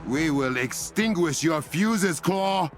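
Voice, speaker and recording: An actor playing a noble, commanding warrior king in a video game, speaking with an African accent and a gravelly voice. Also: on the high side, lively intonation, takes it slowly. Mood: resolute, commanding. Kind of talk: video game cutscene acting. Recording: loud music behind. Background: loud music.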